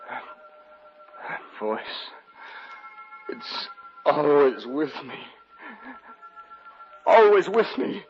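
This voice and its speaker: An unhinged, guilt-racked American murderer in a vintage radio horror play. The voice is high and swings wildly in pitch, theatrical and nervy. The old recording is dull, with loud music. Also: male voice, very animated, full character voice. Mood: haunted. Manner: theatrical, halting, nervous. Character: unhinged male murderer, guilt-racked, feigning polite composure before cracking. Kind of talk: 1940s-50s American radio horror acting, dialogue and narration.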